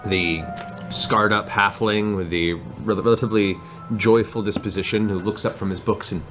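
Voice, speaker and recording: A man acting as game master, narrating a tabletop fantasy game in vivid, halting description. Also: lowish voice, fairly even intonation, a bit bright, faint music behind.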